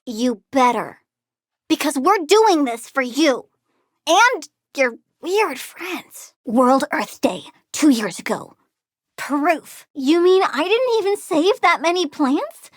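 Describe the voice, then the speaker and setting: A woman's voice: sits high, big pitch swings, takes it slowly, no echo, studio quality, exaggerated voice. A woman playing a childlike, bratty plant-girl villain in a video game, gleeful and morbidly curious, with playful, flippant bluntness. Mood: blunt. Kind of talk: video game character voice lines.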